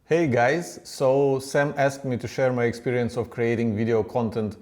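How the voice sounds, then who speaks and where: A man's voice: medium pitch, fairly even intonation, normal speed, darkish tone. A man with a strong Ukrainian accent, a non-native English speaker, giving plain, encouraging advice to the camera. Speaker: company founder sharing advice.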